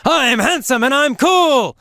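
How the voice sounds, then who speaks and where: A cocky young man , as a teacher puts him on, loudly chants his boast again and again. The voice is bright and swoops high, and it sounds dry and close. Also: lively intonation, takes it slowly, dry recording, exaggerated voice. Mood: boastful. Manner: loud, exaggerated chanting.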